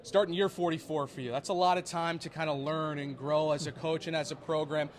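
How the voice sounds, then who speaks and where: A man's voice: a little high, steady tone, a little fast. A young man asking prepared questions as a sports interviewer. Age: young adult.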